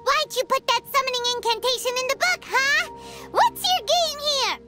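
A woman voicing a tiny, excitable female fairy companion who talks in quick, squeaky bursts. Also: over loud music. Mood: accusing. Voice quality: squeaky, high and bouncy. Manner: exclaims and accuses in quick bursts.

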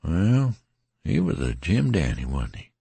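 A man reading a weary wounded soldier in an audiobook, with a rustic, rambling drawl and a creaky, husky voice. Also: very deep voice, big pitch swings, a little slow, mellow, no room sound, exaggerated voice.

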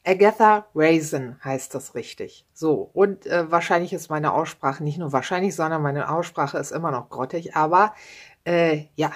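A woman's voice: sits low, moderate pace. A woman in her thirties casually chatting about her books at home, with many fillers and little tag questions. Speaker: book vlogger. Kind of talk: casual book chat. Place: home room with bookshelves, indoors.

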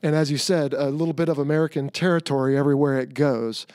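A man's voice: on the high side, steady tone, fast, a little mellow, clear, resonant tone, no echo, clean studio sound. A middle-aged man hosting a podcast, a retired Navy pilot, chatting in a friendly, upbeat way with his guest. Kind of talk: casual interview hosting.

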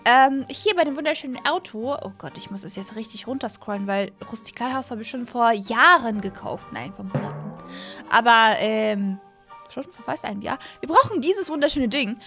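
A woman in her twenties doing excitable, gushing let's-play commentary for viewers, sprinkling in English words, recorded on a close desk microphone in a small room. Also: a little high, moderate pace. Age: 20s. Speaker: host of a gaming channel. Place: small home gaming room, indoors. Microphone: close desk microphone on an arm, with headset. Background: background music.

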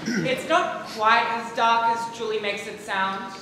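A man's voice: very high-pitched, steady tone, slow pace. A teenage boy acting an exasperated, controlling director of a school musical, speaking emphatically on a theatre stage. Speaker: student stage actor. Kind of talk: scripted stage comedy acting. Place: large school theatre stage, audience present. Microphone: far camera in audience. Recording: echoey, noisy auditorium stage recording.

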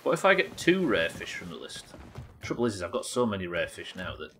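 A man's voice: low voice, very animated, a little fast, fairly bright tone, a little hiss or hum. A British man in his thirties giving casual, rambling, sweary game commentary and chatting to viewers from a small room. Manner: sweary, rambling, self-questioning. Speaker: live game streamer. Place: small indoor streaming room.

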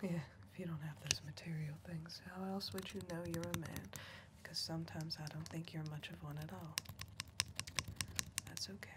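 A woman acting as a young backwoods niece in an ASMR roleplay, whispering in a lowish voice. She is dry, needling and scornful, close to the mic with clear hiss behind. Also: flat delivery, normal speed, natural voice. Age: young adult. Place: dim indoor room, seated close to the mic.

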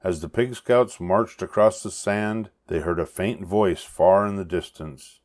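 An older man reading a children's story aloud with expressive narration. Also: sits low, a little slow. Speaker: storybook reader.

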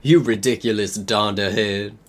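Man putting on a low, sneering villainous teacher. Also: fairly even intonation, a little slow, lightly acted. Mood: scornful. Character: sinister sneering male teacher villain, mean and threatening. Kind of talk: comedic dramatic reading of amateur fan fiction.

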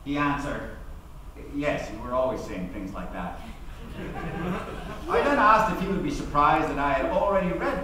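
Male actor as defiant socialist defendant, middle-aged man, theatrical rhetorical oratory, lively raised pitch, stage reading. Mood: pointed, indignant. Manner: declamatory, deliberate, rhetorical. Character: defiant, eloquent socialist defendant addressing a 1919 jury. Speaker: actor giving a dramatic reading. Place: large auditorium, speaking at a podium. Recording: echoey hall, distant mic, noisy room.

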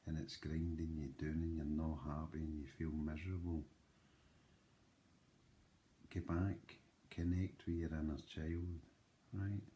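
A man's voice: very deep voice, flat delivery, dark tone, a little hiss or hum. A Scottish man in his forties, talking earnestly to camera as a channel host in a small room, emphatic and often checking in with "right?". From Scotland. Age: 40s. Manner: slow, emphatic, with frequent "right?" asides. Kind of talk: earnest motivational talk to camera. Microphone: webcam or phone close up.

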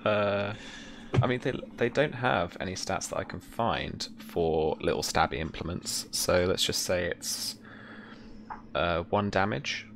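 A man's voice: low voice, unhurried, gravelly, fairly bright tone, slight background noise. A young man working as game master, casually giving rulings and narrating the scene in an easygoing, informal way. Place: indoor room at home, video call.

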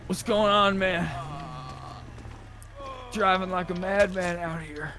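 A man with a somewhat high voice talks casually while playing a roleplay game. His phrasing is folksy and a little profane. A light bed of game and vehicle noise sits behind him. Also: fairly even intonation, takes it slowly, bright, forceful tone. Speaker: player in a roleplay game session. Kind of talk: casual in-character gaming chat. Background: game ambience and car engine.

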